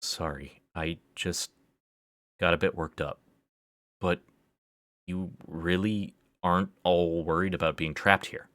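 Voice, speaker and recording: A man's voice: low voice, level tone, a little slow, fairly bright tone, no room sound. A man voicing an anxious, exasperated schoolgirl with an incredulous, insistent, questioning manner. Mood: contrite.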